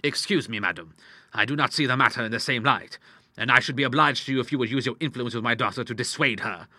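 A man voicing a middle-aged gentleman doctor in an audiobook, an indignant father who speaks in a pompous, formal, emphatic way. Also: medium pitch, fast, dry recording. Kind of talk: audiobook character acting.

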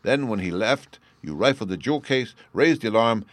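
A suave gentleman detective from a storybook, acted out by a man. He speaks precisely and knowingly, with genteel command, in a close, dry sound. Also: fairly low pitch, expressive, normal speed, dry recording, voice pushed up. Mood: accusing, measured.

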